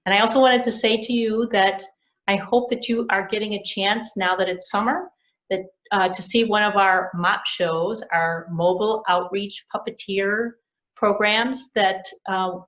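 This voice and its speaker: A woman, a children's librarian and puppeteer, telling a fairy tale to children in a gentle, expressive way, on a dull lo-fi recording. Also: medium pitch, measured pace. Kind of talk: storytelling for children.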